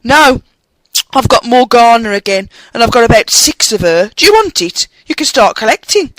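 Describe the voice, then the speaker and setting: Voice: medium pitch, expressive, moderate pace, fairly bright tone, dry recording, voice pushed up, close to an everyday voice, poor audio. A reader voicing a blunt, offhand young wizard boy in a storybook reading.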